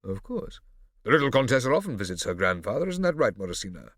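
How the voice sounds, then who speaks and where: A man's voice: medium pitch, big pitch swings, fast talker, a bit bright, dry recording, clean studio sound, pitched above the performer's own voice. Man doing a cocky boy aping a haughty doctor. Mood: condescending. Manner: condescending, smooth. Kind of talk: audiobook character voice.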